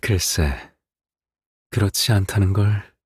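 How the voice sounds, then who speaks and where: An actor playing a calm, menacing middle-aged assassin father in a video game, speaking gently and without hurry to his daughter. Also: lowish voice, breathy edge, in a large hall. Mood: wistful, quiet. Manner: gentle, unhurried, eerily calm, paternal. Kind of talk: video game character voice lines.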